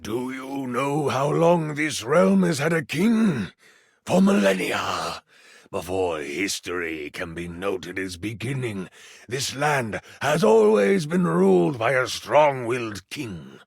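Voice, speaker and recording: A man voicing an evil, ancient demonic king in a video game, with a gravelly, breathy growl and a slow, imperious, theatrical delivery. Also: mid-range voice, very animated, dry recording, clean studio sound, voice pushed down, heavily acted. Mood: brooding, grandiose. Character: evil old demonic king, grandiose and menacing.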